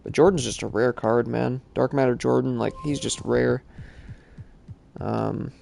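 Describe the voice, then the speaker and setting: Congested guy streaming a game, flat gravelly voice, rambly w/ lots of fillers. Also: medium pitch, measured pace, slight background noise. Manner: casual, rambling, many fillers. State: congested from allergies. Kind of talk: live-stream gaming chat.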